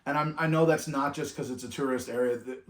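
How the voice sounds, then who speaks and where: A man's voice: mid-range voice, fast. A middle-aged man from California, talking casually as a vlog co-host and rambling, with frequent restarts. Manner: rambling, with many restarts and trailing off. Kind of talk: reflective casual chat. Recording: echoey room.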